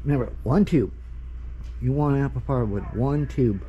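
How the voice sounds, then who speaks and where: Male hobby vlogger, informal and halting, off-the-cuff delivery, muffled recording over noticeable traffic-like ambience. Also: medium pitch. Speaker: amateur radio vlogger. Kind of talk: casual rambling walk-around commentary. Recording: dull sound, clear background noise.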